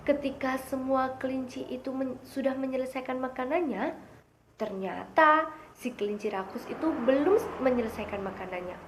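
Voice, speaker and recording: A young woman telling a children's fable in an expressive storytelling style. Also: sits high, normal speed, slight background noise.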